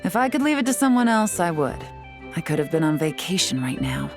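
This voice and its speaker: A woman's voice: fairly low pitch, big pitch swings, a little fast, music in the background. An actress playing a cool, composed woman investigator in a video game, articulate, wry and sly in a businesslike way. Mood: cool, explanatory. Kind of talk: scripted video game dialogue.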